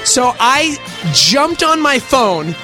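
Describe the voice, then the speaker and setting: A man telling an animated story in a casual chat, acting out the scenes with voices and sound effects. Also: very high voice, slow, music in the background.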